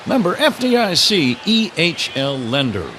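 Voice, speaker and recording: A man's voice: fairly high pitch, big pitch swings, measured pace, pushed and bright. A man doing live baseball play-by-play on the radio from a ballpark booth. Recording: crowd and stadium noise behind. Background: ballpark crowd and ambient noise.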